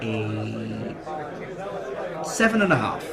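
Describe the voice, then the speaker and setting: A man's voice: lowish voice, very animated, very slow, vocal fry, a loud crowd, heavily acted. A man playing an awkward, plain-spoken young man in a comic radio play, incredulous and stumbling over his words. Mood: awkward, placating. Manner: hesitant, stammering, incredulous. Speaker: actor in a short comedy play. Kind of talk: comic two-hander radio drama dialogue.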